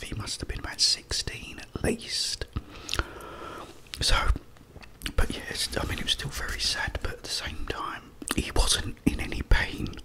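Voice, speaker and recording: A middle-aged British man whispering in a breathy voice, telling personal stories casually to viewers in an ASMR style. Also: very high voice, low-quality recording. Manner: rambling, confiding, addresses viewers directly. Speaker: ASMR video creator.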